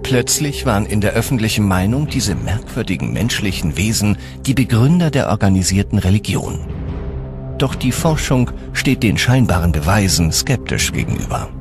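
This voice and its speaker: A man narrates a documentary in over clearly audible music. His voice sits on the low side and moves with lively intonation. The delivery is measured and dramatic, like scripted storytelling. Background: background music.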